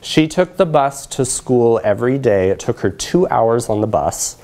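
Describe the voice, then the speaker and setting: A man's voice: medium pitch, lively intonation, normal speed, darkish tone. American man giving an academic presentation. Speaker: researcher presenting findings. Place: lecture room, indoors.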